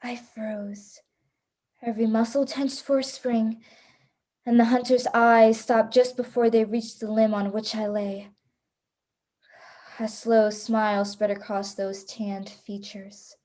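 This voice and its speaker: Woman playing a mellow, high-voiced hunted heroine. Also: little pitch movement, slow pace. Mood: hunted suspense. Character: frightened, resolute young woman, hunted shipwreck survivor. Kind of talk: first-person radio play narration.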